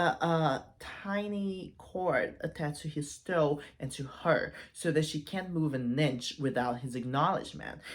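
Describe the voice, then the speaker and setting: A chatty, campy man talking through a story for his book channel. He slips into quoted voices and sassy asides as he goes, in a loose, conversational way. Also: a little high. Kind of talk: casual literary analysis.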